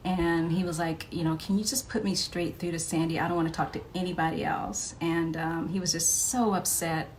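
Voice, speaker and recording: A woman's voice: lowish voice, level tone, moderate pace, few pauses, slight background noise, poor audio. A woman telling anecdotes in a casual, chatty way as a guest on a podcast recorded live. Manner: chatty, often laughing as she tells stories. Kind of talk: casual anecdotal interview storytelling.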